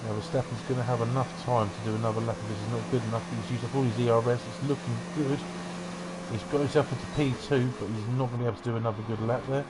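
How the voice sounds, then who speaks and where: A man giving relaxed, rambling commentary on an online racing qualifying session. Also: mid-range voice, fast, heavy background noise. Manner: relaxed, rambling, self-deprecating asides. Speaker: race commentator.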